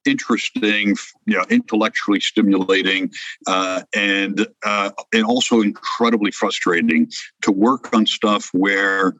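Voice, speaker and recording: A man's voice: on the low side, animated, unhurried, sharp tone, no room sound, poor audio. An older man, born in France, speaking as an interview guest in a reflective way, with many hesitations and restarts. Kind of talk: conversational interview.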